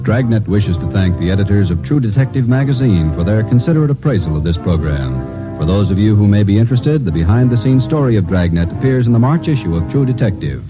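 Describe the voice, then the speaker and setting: Low male announcer over loud music. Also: lively intonation, fast. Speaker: program announcer. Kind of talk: 1950s scripted radio announcing. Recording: muffled 1950 radio broadcast recording.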